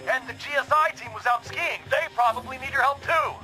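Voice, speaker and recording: A man's voice: very high voice, a little fast, sharp tone, soft background music, heavily acted. A man voicing a frantic, complaining boss in a cartoon game, agitated and urgent. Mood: panicked.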